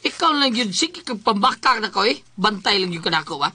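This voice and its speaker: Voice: mid-range voice, lively intonation, a little fast, bright, forceful tone, husky, dry recording. A performer with a Cebuano Bisaya accent acting in a colloquial comedy skit, scolding and exclaiming. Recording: music behind the voice.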